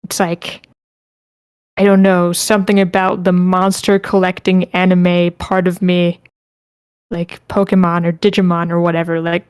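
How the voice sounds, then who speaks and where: A woman's voice: lowish voice, darkish tone, smooth, no room sound, clean studio sound. A woman hosting an online group session, casually moderating the chat and reading comments aloud. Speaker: host of an online script table read.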